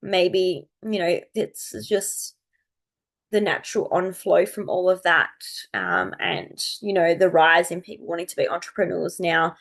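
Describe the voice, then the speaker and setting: A woman, slightly croaky from a cold, chatting casually and rambling as she thinks aloud to her viewers. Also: lowish voice, steady tone, measured pace. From Australia. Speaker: astrology video host. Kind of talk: casual unscripted chat to viewers.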